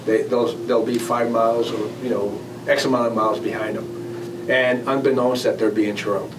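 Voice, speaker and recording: A man speaks informally at a meeting, often rephrasing as he explains things. He is some way from the microphone, and there is clear background noise. His voice sits at an average pitch. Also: little pitch movement. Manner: halting, conversational, frequently rephrasing and repeating words. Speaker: former air traffic control manager, guest speaker. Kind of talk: informal presentation with audience questions. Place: club meeting room. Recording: distant mic, noisy meeting room.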